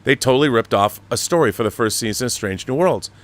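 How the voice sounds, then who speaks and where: A man's voice: medium pitch, animated, fast. A man hosting a live talk show, giving emphatic, opinionated commentary with rhetorical questions.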